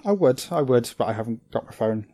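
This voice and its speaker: A hoarse man co-hosts a casual podcast from home, rambling and laughing at his own slips. His voice sits at an ordinary pitch and sounds dry and close. He talks over an online call. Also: expressive, fairly quick, dark tone, dry recording. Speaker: podcast co-host. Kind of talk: casual chat. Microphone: desk microphone over an online call.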